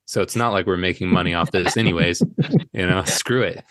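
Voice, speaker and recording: A man talking thoughtfully and casually as a podcast guest, pausing as he works through his ideas. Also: low voice, fairly bright tone, no echo. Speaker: podcast guest, indie audio drama creator. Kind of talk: reflective casual interview talk.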